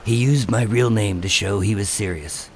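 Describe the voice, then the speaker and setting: A man playing an undercover agent in a radio drama, telling his story with deadpan, wry tension. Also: lowish voice, normal speed, slight background noise, close to an everyday voice. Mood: tense, wry. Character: wry, nervous undercover agent posing as mob enforcer. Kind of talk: first-person noir radio drama narration and dialogue.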